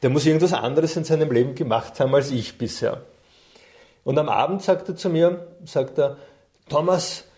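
Mellow middle-aged man telling stories. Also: a little high, normal speed. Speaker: interview guest, coach and trainer. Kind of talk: conversational personal storytelling.

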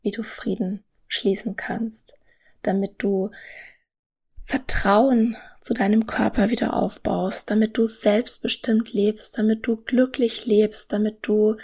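Solo podcast host, woman in her 30s, breathy and husky tone, earnest and upbeat. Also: medium pitch, darkish tone. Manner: earnest, enthusiastic, speaks directly to the listener.

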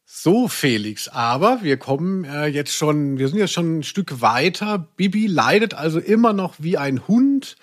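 A man's voice: fairly high pitch, sing-song, a little slow, few pauses. A man co-hosting a casual podcast discussion in a reflective way, searching for his words. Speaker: podcast co-host.

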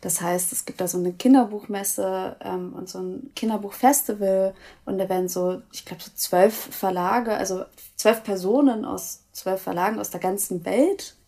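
A woman's voice: medium pitch, moderate pace, warm tone. A young woman co-hosting a casual podcast chat, chatty and self-mocking, laughing easily. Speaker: podcast co-host, publishing staff.

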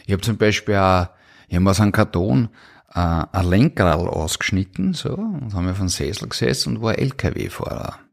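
A man's voice: low-pitched, animated, normal speed, creaky. A middle-aged man with an Austrian accent, casually telling stories from his own life in an interview. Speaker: interview guest. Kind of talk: casual autobiographical storytelling.

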